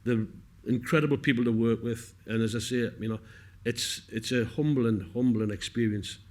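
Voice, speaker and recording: North east english guy talking at a q&a, earnest, emphatic, middling pitch. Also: steady tone, moderate pace, a little mellow. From north-east England. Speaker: film cast member. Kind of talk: heartfelt speech at a public Q&A. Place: on stage at a cinema Q&A.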